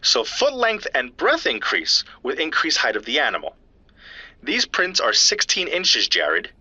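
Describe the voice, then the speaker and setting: A male actor as an earnest scientist with a sharp, forceful voice and a husky grain. He lectures precisely yet familiarly in an audio drama, swinging widely in pitch, through a slightly dull recording. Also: wildly swooping pitch, a little slow, no room sound, full character voice. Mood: excited, explanatory. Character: earnest, geeky male scientist explaining footprint evidence to a friend. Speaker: scientist analysing footprint casts. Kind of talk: audio drama dialogue, technical explaining.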